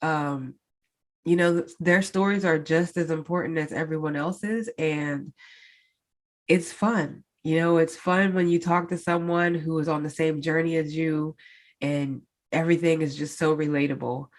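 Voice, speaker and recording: Solo podcast host, woman, stuffy-nosed and nasal, deep slow easy delivery. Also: steady tone. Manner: chatty, many fillers, addresses listeners directly. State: congested, stuffed-up nose. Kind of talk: casual solo podcast update.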